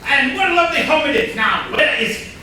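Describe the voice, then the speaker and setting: A man's voice: extremely high pitch, animated, a little fast, sharp tone, exaggerated voice. An actor playing a pompous, boastful rich businessman with a blustering, self-important manner in a comedy play reading. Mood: haughty. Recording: very echoey room, distant mic, faint noise.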